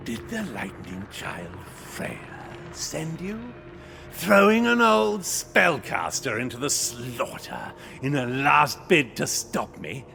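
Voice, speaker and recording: A man's voice: mid-range voice, big pitch swings, slow, creaky, bright, forceful tone, soft background music, full character voice. A man voicing a vengeful undead knight spirit in a game, arrogant, menacing and grandiose in delivery. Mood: scornful boasting. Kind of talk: video game cutscene acting.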